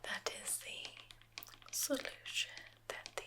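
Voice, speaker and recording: A woman voicing a soft-spoken, quietly sinister forest witch healer in a whispered ASMR roleplay, with slow, soothing, drawn-out words and murmured hums. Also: natural voice. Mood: hushed, soothing. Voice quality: whispering, unvoiced.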